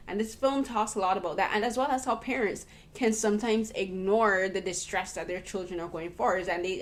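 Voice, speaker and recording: Young woman hosting a film chat, earnest, keeps restarting sentences, mid pitch. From Barbados. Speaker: podcast host and interviewer. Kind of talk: casual conversational film interview.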